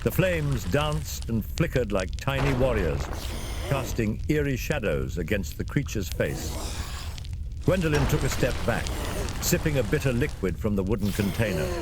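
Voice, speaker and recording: A man reads a fantasy adventure as its narrator. His medium-pitched voice swings expressively, with a theatrical, suspenseful delivery. Clear ambient noise runs behind him. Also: very animated, moderate pace. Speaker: storyteller, narrator. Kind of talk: dramatic scripted fantasy narration.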